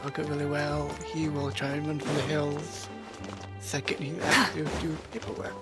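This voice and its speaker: Woman, low voice, casual gaming commentary, loud music behind. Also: level tone, normal speed. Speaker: game streamer.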